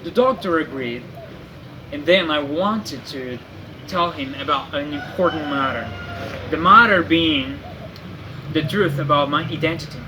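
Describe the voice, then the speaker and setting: Man playing an earnest, higher-voiced French gentleman in exile. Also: natural voice. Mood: earnest, hopeful. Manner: formal, deliberate storytelling. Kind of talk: scripted dramatic monologue of a classic novel. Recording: faint background noise, amateur recording.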